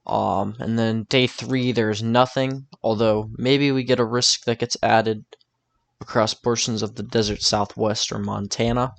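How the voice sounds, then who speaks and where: A man's voice: fairly low pitch, fairly even intonation, a little slow, vocal fry, no echo. A man talking informally through a weather forecast over maps, thinking aloud in a casual, tentative way. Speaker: weather forecaster presenting his own video.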